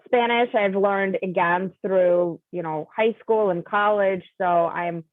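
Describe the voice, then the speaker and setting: A woman whose first language is Russian, speaking as a guest in an interview, measured and full of fillers and restarts. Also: medium pitch, steady tone, no room sound. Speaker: guest, college admissions coach. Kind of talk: conversational interview. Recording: tinny phone-like line, dry.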